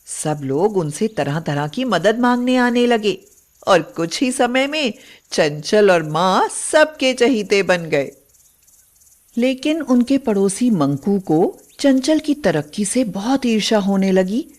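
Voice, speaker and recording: A woman tells a scripted moral tale as its narrator. Her pitch is mid-range and her pace is markedly slow, with deliberate, expressive phrasing that suits an animated story.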